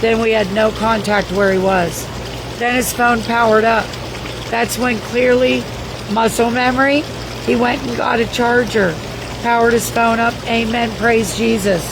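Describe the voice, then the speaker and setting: Hoarse woman, fairly high voice, profane rambling livestream host; noisy recording. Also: fairly even intonation, unhurried. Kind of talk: casual livestream recap reading viewer comments. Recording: noisy background.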